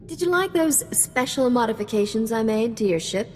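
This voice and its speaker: An actress playing a brash, quick-witted woman starship captain with a teasing, wisecracking manner in a video game. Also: a little high, animated, a little mellow, a little hiss or hum, close to an everyday voice. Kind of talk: video game cutscene acting.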